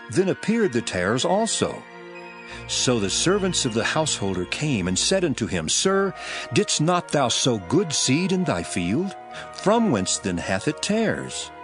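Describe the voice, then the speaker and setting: An actor playing Jesus as an earnest preacher teaching parables in a dramatized scripture reading, solemn and declamatory, with music under the voice. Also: male voice, medium pitch. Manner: solemn, declamatory, measured phrasing. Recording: loud music bed under the voice.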